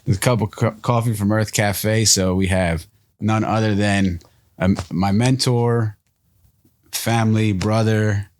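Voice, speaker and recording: Young guy cohosting a podcast, kinda low voice, upbeat storyteller. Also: unhurried. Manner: energetic, laughs often, storytelling. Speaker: podcast co-host. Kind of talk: casual podcast chat.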